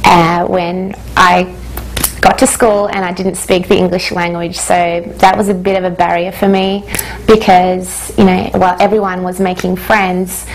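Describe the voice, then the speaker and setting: Soft-spoken woman singer. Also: fairly low pitch, level tone, unhurried, slight background noise, poor audio. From Australia. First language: Hungarian. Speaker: singer-songwriter. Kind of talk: reflective interview monologue.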